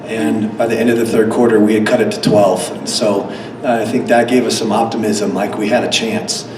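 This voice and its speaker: A man coaching a team, slightly hoarse from a cold, answering press questions with many fillers and restarts. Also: on the low side, flat delivery, a little fast. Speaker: head coach. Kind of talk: post-game press conference answers. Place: press conference room. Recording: echoey room, distant mic, background noise.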